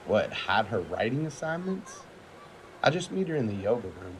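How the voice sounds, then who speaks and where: A man's voice: on the low side, big pitch swings, moderate pace, slight background noise, poor audio. An actor playing a frank, supportive man in a self-help group, casual and sincere in a naturalistic audio drama. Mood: matter-of-fact. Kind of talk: naturalistic audio fiction acting.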